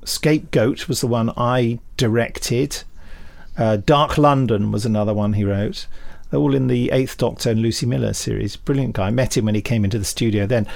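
A man's voice: mid-range voice, fairly even intonation, normal speed, vocal fry, darkish tone, no echo. A man co-hosting a podcast with casual news chat and rambling, hesitant asides. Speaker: podcast co-host, script editor and director.

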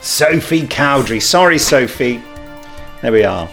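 A man's voice: mid-range voice, lively intonation, unhurried, a bit bright, soft background music. A man co-hosting a podcast in casual, jokey chat with a bumbling, self-deprecating manner. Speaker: podcast co-host.

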